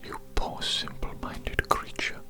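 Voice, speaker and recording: A performer voicing a condescending alien doctor in a breathy ASMR whisper, soothing, patronizing and repetitive during a medical roleplay. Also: slow, lightly acted. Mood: gently condescending. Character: condescending, politely amused alien doctor giving a hearing exam.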